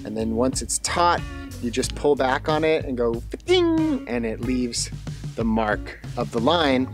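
A man's voice: medium pitch, measured pace, over music. Man talking through a DIY job. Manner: explains each step plainly and calmly. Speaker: host of a home renovation channel. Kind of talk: casual DIY vlog narration.

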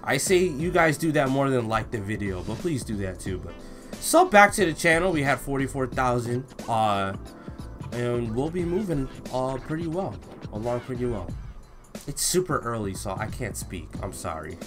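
A young man doing casual, slangy reaction commentary and read-along, expressive and given to drawn-out exclamations. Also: mid-range voice, soft background music. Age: young adult. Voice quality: slangy, informal. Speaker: YouTube reaction host. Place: small indoor room at home.

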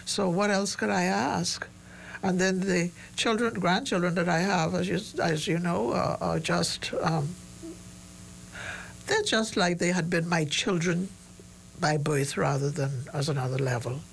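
A woman, a studio interview guest who reminisces gently and pauses to search for names. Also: lowish voice, creaky, a little hiss or hum, low-quality recording. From Bahamas. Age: 90s. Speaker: interview guest, retired education leader. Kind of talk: reflective interview conversation. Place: small studio, indoors. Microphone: desk microphone on boom.

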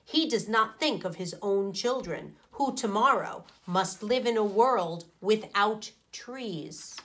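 Storybook anteater read by a woman: earnest, slow, emphatic, admonishing. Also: medium pitch, fairly bright tone. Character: earnest anteater parent, gravely cautioning.

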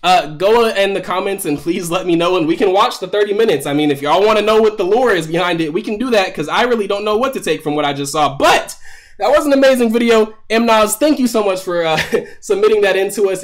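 A man's voice: high voice, a little fast. A man in his twenties giving casual, profane, slangy reaction commentary and reading on-screen text aloud on a noisy recording. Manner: profane, slangy, animated, exclaiming. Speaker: reaction video creator. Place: small room at home.

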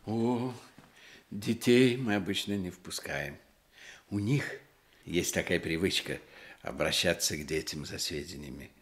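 An actor playing a small, sly, ingratiating shoemaker in a radio play, unctuous and hesitant, with little chuckles. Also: male voice, low voice, a little slow. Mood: guarded, probing. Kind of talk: Soviet radio play acting.

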